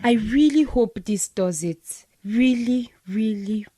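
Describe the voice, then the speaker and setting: An actress with a Nigerian accent playing a wry, weary company boss in a radio drama. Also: female voice, on the high side, slow, background noise. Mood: wry, hopeful.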